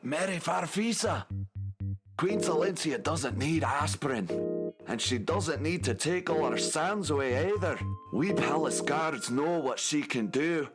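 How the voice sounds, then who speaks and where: A long-suffering palace guard, talks slowly with animated swoops. He sounds nervous and plaintive, in the manner of a children's radio play, with music behind. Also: a little high. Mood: complaining. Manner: plaintive, hesitant. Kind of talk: children's audio drama acting.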